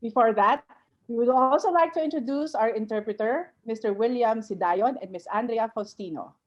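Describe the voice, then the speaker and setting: A woman's voice: fairly high pitch, moderate pace. A woman formally hosting and introducing an online webinar. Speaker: host, associate dean opening a webinar. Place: online video call. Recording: dull video call audio.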